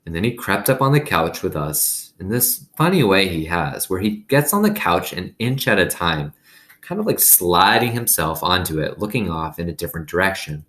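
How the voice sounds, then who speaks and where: A man reading a children's novel aloud as an expressive narrator. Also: sits low, moderate pace.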